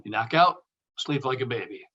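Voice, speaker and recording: A man's voice: medium pitch, lively intonation, moderate pace, sharp tone, husky, muffled recording. A man playing an older actor, a blunt, teasing but caring old friend, in a conversational table read. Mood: concerned. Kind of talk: casual table read of a comedy-drama script.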